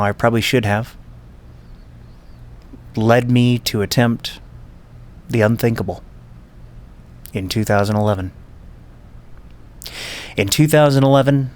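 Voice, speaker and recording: Man, lowish voice, reflective personal narration, heartfelt, earnest. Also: slight background noise. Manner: earnest, heartfelt, speaks slowly to listeners. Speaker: podcast host.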